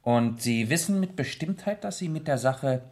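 A mid-pitched gentleman sleuth, calm and shrewd. He questions with deliberate, probing care, weighing his words in the style of 1970s German radio drama. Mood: calm, inquisitive.

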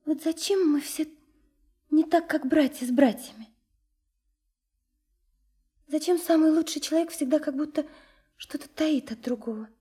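An actress in a radio drama playing a sincere, lovesick young woman, soft and hesitant, often dropping into a breathy whisper. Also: high-pitched, moderate pace, long pauses, lightly acted. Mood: earnest, confiding. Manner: hesitant, earnest, confiding. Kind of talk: intimate radio drama acting.

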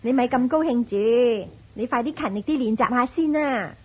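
An actress with a Hong Kong Cantonese accent playing a caring, teasing elder sister in a radio drama. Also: female voice, fairly high pitch, normal speed, slightly rough.

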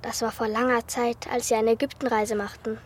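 Chatty, high-voiced precocious little girl. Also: little pitch movement, brisk, husky. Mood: matter-of-fact. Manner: matter-of-fact, chatty. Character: observant, candid, precocious young girl witness. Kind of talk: radio play acting.

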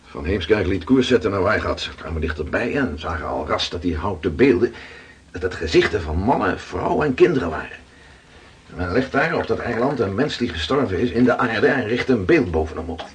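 An actor playing a Dutch sailor slowly recounting his voyage in a radio play. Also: lowish voice, very animated, a little hiss or hum. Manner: slow, vivid recounting. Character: weathered Dutch sailor telling voyage tales.

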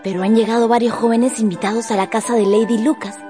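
An actress playing an excitable, gossipy young sister in a period romance radio drama, chatting eagerly. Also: female voice, a little high, fast, faint music behind, natural voice. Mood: excited. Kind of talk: Spanish-language radio drama acting.

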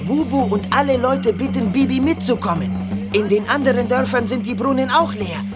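An actress playing an earnest young villager with a simple, declarative way of speaking, in a children's audio drama with music behind. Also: female voice, fairly high pitch, moderate pace, natural voice. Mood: earnest, urging. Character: earnest young African villager bringing an urgent message.

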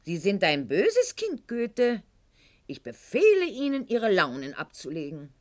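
An actress playing a proud, sharp-witted aristocratic lady in a radio play monologue, speaking with haughty irony and elaborate eloquence. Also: medium pitch, huge pitch swings, unhurried. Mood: stern.

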